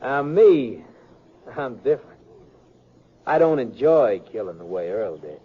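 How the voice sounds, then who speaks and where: An American actor playing a callous, drawling outlaw who makes folksy threats in a 1950s radio western. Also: male voice, medium pitch, big pitch swings, unhurried, breathy edge. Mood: casual, boastful. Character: callous armed outlaw, drawling, coolly menacing man. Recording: dull lo-fi 1950s radio recording.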